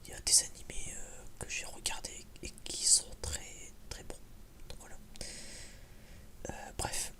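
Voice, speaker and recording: A man's voice: unhurried, sharp tone. A man whispers a casual ASMR film review in a hesitant, rambling, informal way. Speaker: video creator giving a film review.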